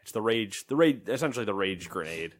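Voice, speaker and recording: A man's voice: fairly low pitch, expressive, fairly quick, dry recording. A man co-hosting a gaming podcast in casual chat, rambling and joking, often trailing off into mumbles. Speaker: podcast co-host.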